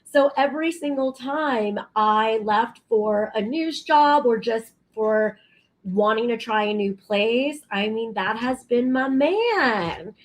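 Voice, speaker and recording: A woman in her thirties, a talk show guest chatting casually, bubbly and quick to laugh, with many fillers. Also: fairly high pitch, animated. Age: 30s. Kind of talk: casual chat.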